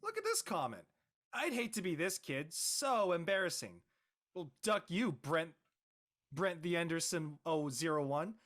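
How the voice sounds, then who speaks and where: Actor as teenage boy, embarrassed, exasperated, whiny, very animated intonation, comedic audio drama. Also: mid-range voice, a little slow. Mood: annoyed. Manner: indignant, whiny, exclamatory. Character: embarrassed, exasperated teenage boy who became a viral meme.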